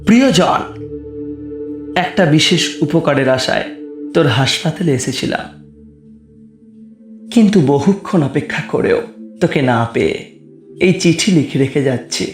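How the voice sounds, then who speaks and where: A man playing a worried young gentleman, earnestly reading out his urgent letter in an audio horror drama. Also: fairly high pitch, lively intonation, unhurried, long pauses. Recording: roomy reverb, faint music behind.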